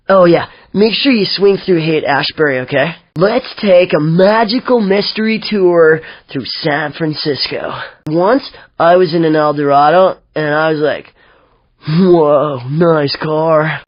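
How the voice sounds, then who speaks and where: A spacey sixties hippie drawls through video game quips in a mellow, dazed way. He sounds like a peace-loving slacker. The audio is dry, close and a bit dull. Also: high voice, dry recording.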